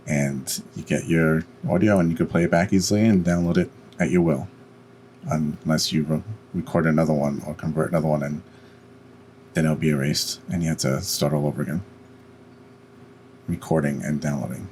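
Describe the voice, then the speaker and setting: A man hosting a step-by-step software tutorial with plain, unhurried instruction. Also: extremely low pitch, steady tone, a little hiss or hum. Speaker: tutorial host. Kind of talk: step-by-step software screencast narration.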